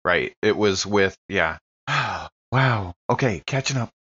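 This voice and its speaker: A man's voice: on the low side, expressive, takes it slowly, pushed and bright, slightly rough, lo-fi sound. A man chatting casually as a player at a tabletop game session. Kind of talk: casual chat.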